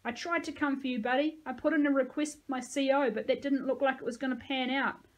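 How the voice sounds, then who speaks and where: A woman voicing a tough, motherly grey-haired army reservist with a gravelly voice and folksy manner, in an audiobook. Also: sits high, fairly even intonation, fast talker, pitched above the performer's own voice, lightly acted. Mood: tender, apologetic. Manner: bellowing orders, folksy endearments.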